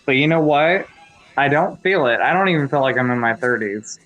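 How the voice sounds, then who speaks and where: A man's voice: mid-range voice, lively intonation, fairly quick, a bit bright, dull audio, soft background music. A man in his thirties co-hosting a live movie commentary, talking in a friendly way and laughing often. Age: 30s. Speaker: co-host of a movie commentary channel. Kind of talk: casual live chat with viewers.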